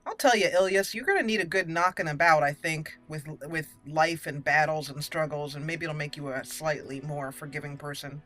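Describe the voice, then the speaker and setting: A woman's voice: deep voice, fairly quick, hardly any pauses, fairly bright tone, soft background music. A woman hosting a roleplay game playthrough, mixing commentary with theatrical, haughty in-character lines. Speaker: gaming host.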